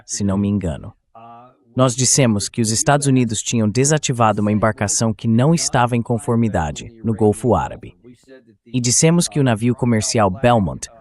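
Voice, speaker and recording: A man's voice: fairly low pitch, darkish tone. Male political show host in Portuguese voice-over. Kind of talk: political commentary, translated voice-over.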